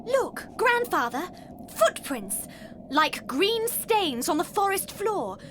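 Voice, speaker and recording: Woman as wide-eyed granddaughter, very high swooping voice, slow melodramatic delivery, parody sci-fi serial, music behind. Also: very animated, a bit bright, slight background noise, full character voice. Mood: curious, apprehensive. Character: wide-eyed granddaughter exploring an alien planet, breathless and dramatic.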